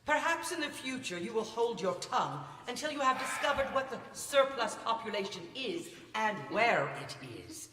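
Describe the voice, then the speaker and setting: Woman acting a stern, booming-hall Christmas spirit. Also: expressive, moderate pace, hardly any pauses, fairly bright tone, a little hiss or hum. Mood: scornful. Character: stern, scornful, prophetic Christmas ghost. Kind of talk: stage radio drama acting. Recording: echoey hall, distant mic.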